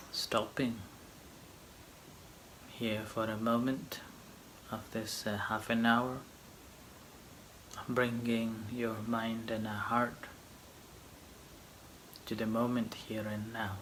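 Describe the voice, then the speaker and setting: Male meditation teacher, slow delivery, short phrases, long pauses, light background noise. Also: mid-range voice, level tone, fairly bright tone, rough recording. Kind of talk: guided meditation, slow gentle instruction.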